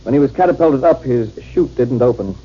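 An American actor playing a dutiful military radio operator in a 1950s radio drama, formal and measured with his call signs. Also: medium pitch, lively intonation, slightly rough. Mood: sober. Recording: muffled, noisy old 1950s radio recording.

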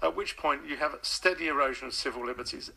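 A man's voice: fairly high pitch, fast, vocal fry, sharp tone, husky, low-quality recording. A man, an author being interviewed on radio, speaking thoughtfully and hesitantly, often repeating words as he thinks.

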